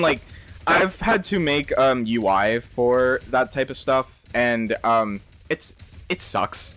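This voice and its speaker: A young man in his twenties hosting a live game stream, his voice sitting on the low side. He reads chat aloud and answers in loose, slangy banter, swearing freely and laughing between remarks. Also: fairly bright tone. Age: 20s.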